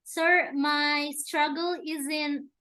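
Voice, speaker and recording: A woman's voice: high voice, steady tone, slow pace, sharp tone. A young woman from Indonesia with an Indonesian accent, a student politely interviewing a teacher over a video call and carefully searching for her English words. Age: young adult.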